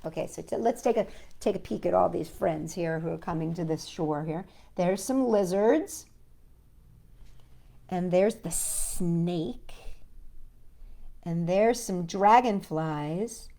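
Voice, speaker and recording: A woman's voice: on the low side, slow, vocal fry, long pauses, dark tone. A woman hosting a children's storytime, reading a picture book aloud with playful, chatty asides to young viewers.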